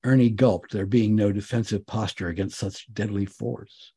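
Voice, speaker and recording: A man giving an expressive, wry and theatrical narration of comic fiction, as an author reading his own work. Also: on the low side, moderate pace, breathy edge.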